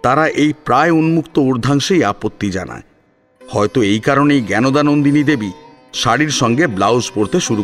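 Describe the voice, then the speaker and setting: Expressive man narrating over music. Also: mid-range voice. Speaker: audio story narrator. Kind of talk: scripted story narration with expressive delivery. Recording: music behind the voice. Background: instrumental music with plucked strings.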